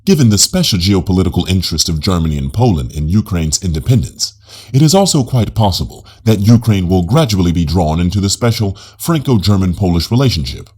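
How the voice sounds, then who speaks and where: A man reading a nonfiction audiobook in a formal, measured manner. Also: deep voice, big pitch swings, darkish tone, slightly rough. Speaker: audiobook narrator.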